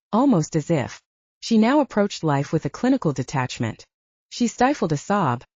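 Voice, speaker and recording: A woman's voice: fairly low pitch, warm tone, husky, no room sound. A woman narrating fiction as a scripted, dramatic audiobook reading. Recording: slightly dull, dry close recording.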